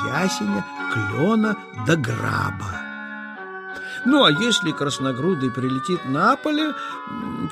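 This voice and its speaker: Slow, expressive male storyteller. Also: a little high. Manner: expressive, deliberate phrasing. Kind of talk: scripted children's story narration.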